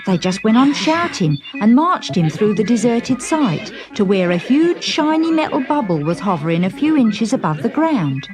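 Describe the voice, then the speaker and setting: A woman narrating a scripted children's story in a dramatic, expressive storytelling manner. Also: on the high side, moderate pace, hardly any pauses, music in the background.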